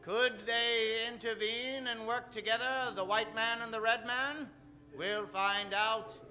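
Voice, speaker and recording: High, very bright theatrical male radio host. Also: fairly even intonation, soft background music. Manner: dramatic, drawn-out, emphatic. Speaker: host and announcer. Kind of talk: theatrical old-time radio announcing to an audience.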